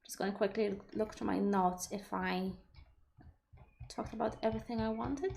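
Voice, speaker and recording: A woman's voice: mid-range voice, fairly even intonation, moderate pace, gravelly, a little mellow. A woman with a Polish accent, a native Polish speaker, hosting a livestream and explaining ideas in a thoughtful, reflective way while answering viewer questions. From Poland. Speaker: livestream host. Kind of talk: live Q&A talk.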